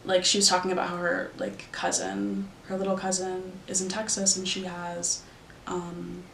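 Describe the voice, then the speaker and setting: A woman's voice: on the low side, monotone, moderate pace, fairly bright tone, echoey room, slight background noise. A nineteen-year-old woman from Arizona, answering interview questions in a loose, rambling way with lots of fillers. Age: young adult. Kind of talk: casual recollection.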